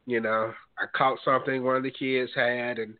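A man hosting a call-in radio talk show, speaking in a measured, earnest way with some fillers and sounding a little stuffed up from a cold. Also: medium pitch, flat delivery. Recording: tinny phone-line sound.

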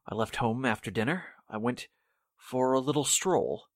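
An actor playing a mild-mannered, evasive son under suspicion, hesitant and polite, in 1940s-style radio drama. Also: male voice, medium pitch, normal speed, dry recording, studio quality, lightly acted.

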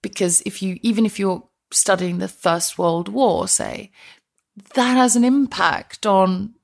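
Creaky-voiced Icelandic woman chatting as a guest. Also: medium pitch, unhurried. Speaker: interview guest, transport historian. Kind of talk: conversational podcast interview.

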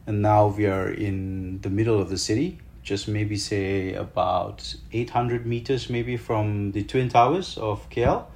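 A Malaysian man with a fairly low voice speaks as an interview guest. He explains his work conversationally, halting and repeating words as he goes. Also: fairly even intonation, vocal fry, darkish tone.

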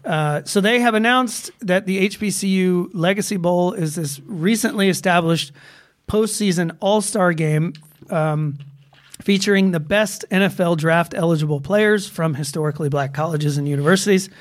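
High-voiced man, rambling casual chat. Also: moderate pace. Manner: rambling with many fillers and restarts. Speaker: podcast co-host.

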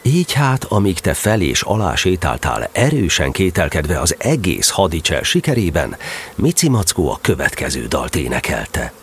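A man playing a fatherly, gently amused storyteller, narrating a children's audio drama expressively. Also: low-pitched, very animated. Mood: storytelling.

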